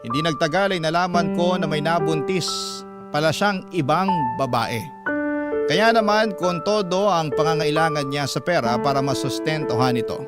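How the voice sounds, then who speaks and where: A man's voice: a little high, moderate pace, loud music behind the voice. A man with a Filipino accent giving dramatic first-person narration of a listener's letter as a radio host.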